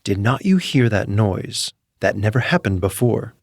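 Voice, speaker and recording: A man's voice: on the low side, expressive, a little slow, vocal fry, no echo, exaggerated voice. Storybook young princess, nervous and fretful, read by a man, in a dry close recording. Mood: uneasy. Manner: worried, uneasy. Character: anxious, timid youngest princess, young girl. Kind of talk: storybook character voice.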